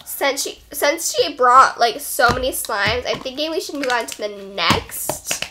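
A young teen girl with a high voice, chatting casually like a vlogger. She is expressive and gushing. The room recording has clear background noise. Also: measured pace. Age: young teenager. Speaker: slime review vlogger. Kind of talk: casual excited chat. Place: carpeted bedroom, indoors.

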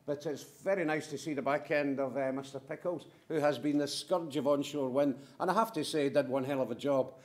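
A middle-aged man giving a conference presentation in brisk, punchy short statements with wry asides. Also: on the high side, a little mellow. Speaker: conference speaker from a manufacturing firm.

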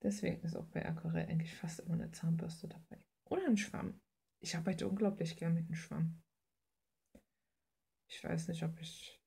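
Woman, very raspy, mellow dark tone, informal slangy chat, thinking aloud. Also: on the low side. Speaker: artist hosting a drawing video. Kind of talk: casual rambling chat while drawing. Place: indoors at a drawing desk.

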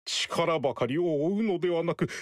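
An actor playing a grave older sage in a video game who speaks in archaic, preachy phrasing, urging prayer to heaven. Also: male voice, a little high, moderate pace, no echo, clean studio sound, close to an everyday voice. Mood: solemn. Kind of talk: video game cutscene dialogue.

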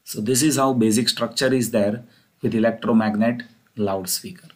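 A man's voice: lowish voice, steady tone, moderate pace, darkish tone. Man giving a step-by-step technical lecture. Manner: repetitive, step-by-step explaining. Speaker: engineering lecturer. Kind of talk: explanatory slide lecture.

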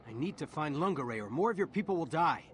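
An actor playing a casual, wisecracking soldier in a borrowed alien body. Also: male voice, fairly high pitch, expressive, fast, a little hiss or hum, lightly acted. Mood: wry.

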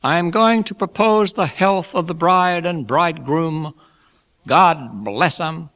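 A man voicing a genial, effusive old gentleman from a classic storybook, making toasts in an emphatic, oratorical manner. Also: on the high side, slow, voice pushed up. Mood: warm, ceremonious. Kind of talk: dramatised classic novel reading.

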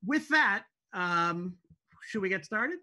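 A woman giving an informal spoken introduction on a video call, speaking in a hesitant, halting way. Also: medium pitch, expressive, bright, forceful tone. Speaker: TV show creator introducing a table read. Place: indoors at home on a video call. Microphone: video call microphone.

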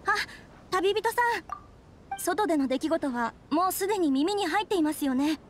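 An actress playing a polite, anxious young noble lady in an anime game, gentle, formal and earnest. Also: very high voice, animated, measured pace, slight background noise.

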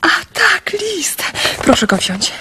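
An actress playing an anxious, impulsive young woman in love in a radio drama, with a breathy voice. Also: extremely high pitch, very animated, slow pace, pushed and bright, a little hiss or hum, full character voice. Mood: confiding, eager.

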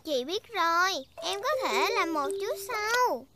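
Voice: extremely high pitch, big pitch swings, measured pace, very noisy, full character voice. A performer voicing a cheerful, bossy little girl piglet in a children's cartoon dub.

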